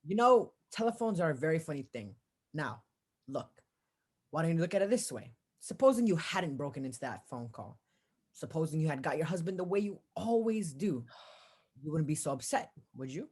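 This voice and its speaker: A husky, very low-voiced police officer, acted by a woman, in a 1940s-style radio thriller. The officer is weary and offhand, placating and patronizing while brushing off a caller. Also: sing-song, normal speed, a little mellow, full character voice. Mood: skeptical. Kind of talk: 1940s radio drama acting.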